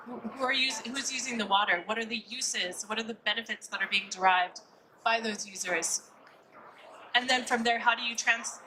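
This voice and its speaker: A woman's voice: a little high, pushed and bright, slight background noise, rough recording. A woman from California with a Californian American accent, giving an upbeat conference presentation and asking and answering her own questions.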